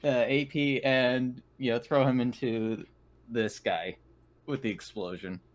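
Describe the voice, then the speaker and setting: A man's voice: medium pitch, steady tone, a little slow. A man chatting casually as a player at a tabletop roleplaying game, talking through his moves.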